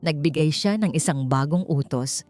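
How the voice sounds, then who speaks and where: A woman with a Filipino accent narrating a scripted dramatic story in an expressive, theatrical manner. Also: deep voice, normal speed, faint music behind. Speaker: audiobook narrator.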